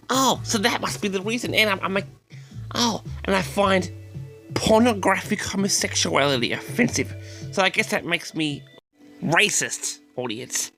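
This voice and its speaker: A man hosts a storytelling vlog with a forceful, gravelly edge to his voice. His delivery is theatrical and indignant, full of dramatic pauses and wide swings in pitch. He speaks straight to his audience as he tells his tale. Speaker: vlog host. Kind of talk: storytelling monologue addressed to the audience.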